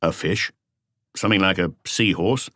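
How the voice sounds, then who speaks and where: Man as unemotional senior police detective, audiobook dialogue, low voice, few words, commanding. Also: normal speed, fairly bright tone, no echo, studio quality. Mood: probing. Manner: terse, unemotional, authoritative. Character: calm, laconic senior male police detective.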